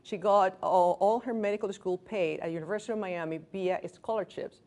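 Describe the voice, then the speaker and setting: A Venezuelan-accented woman in her late thirties gives a training talk to a small group in a room. She speaks candidly, pokes fun at herself and breaks off to restart words. Her voice sits at an ordinary middle pitch for a woman. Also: steady tone, fairly quick, warm tone. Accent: Venezuelan Spanish. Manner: candid and self-deprecating, joking asides, restarts words. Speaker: physician giving a staff training. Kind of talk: lecture to a workplace group.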